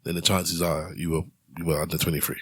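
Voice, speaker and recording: A man hosting a casual podcast chat, animated and joking, often laughing. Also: low-pitched, fast, slightly rough, dry recording, clean studio sound. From Nigeria. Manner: animated, jokey, laughs a lot. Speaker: podcast host.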